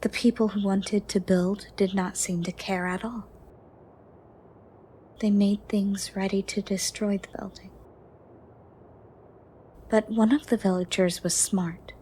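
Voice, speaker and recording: A woman playing a gentle, ancient female monster under the bed in a soft, breathy, partly whispered ASMR roleplay, formal and caring. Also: mid-range voice, steady tone, slight background noise, close to an everyday voice. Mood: wistful storytelling. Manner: slow, formal, caring, slightly stern.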